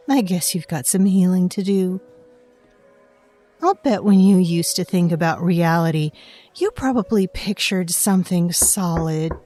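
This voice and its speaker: A woman's voice: medium pitch, mellow. A woman playing a wry, knowing fae waitress who tells her tale conspiratorially in an audio drama. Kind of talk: scripted audio drama narration in second person.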